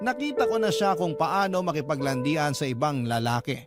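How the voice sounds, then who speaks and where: A man's voice: fairly high pitch, normal speed. A man narrating a listener's letter in the first person as a radio drama host, with dramatic storytelling delivery. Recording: loud music bed under voice. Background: prominent instrumental music.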